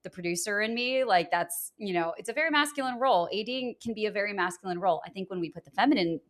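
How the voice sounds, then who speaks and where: A woman's voice: medium pitch, lively intonation, fast. A woman from Atlanta, Georgia, talking frankly and expressively as a guest on a podcast, often laughing. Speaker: guest, producer. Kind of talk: candid podcast conversation.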